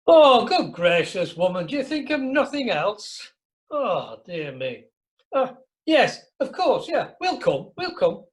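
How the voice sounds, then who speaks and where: A man's voice: high voice, very animated, takes it slowly, exaggerated voice. A man playing an exasperated, henpecked middle-aged husband on a cruise in an amateur radio drama.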